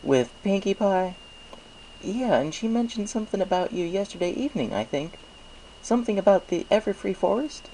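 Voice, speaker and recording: A chatty cartoon pony baker as a man narrator acts him, pitched high and cheerful. He talks like an eager, folksy shopkeeper. Faint noise sits behind the voice. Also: lively intonation, moderate pace, dark tone, poor audio. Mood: cheerful, welcoming.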